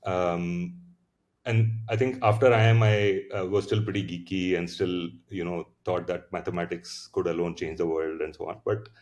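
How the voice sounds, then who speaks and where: A man's voice: low voice, steady tone, moderate pace, a little mellow. A man in his thirties with an Indian English accent, a founder telling his story in a casual interview, reflective, with many fillers and restarts. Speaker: founder, podcast guest.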